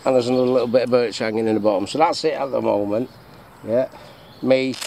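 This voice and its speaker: A man's voice: medium pitch, steady tone, quick pace, creaky, mellow. A middle-aged English man with a jokey, chatty manner, showing his bushcraft gear outdoors and asking himself questions before answering them. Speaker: bushcraft vlogger. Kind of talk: casual outdoor demonstration. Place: woodland camp outdoors. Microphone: action camera on chest or tripod. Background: birdsong and faint outdoor noise.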